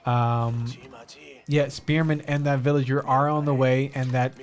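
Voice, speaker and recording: Man, mixed american accent, game co-caster, easygoing, thinks out loud. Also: mid-range voice, unhurried, faint music behind. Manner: relaxed, thinks aloud with fillers. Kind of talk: casual game commentary.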